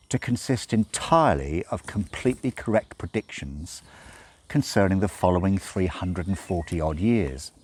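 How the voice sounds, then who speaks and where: A man's voice: low-pitched, a little mellow. A man reading a story aloud as scripted narration, with wry, dramatic emphasis. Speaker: audiobook reader.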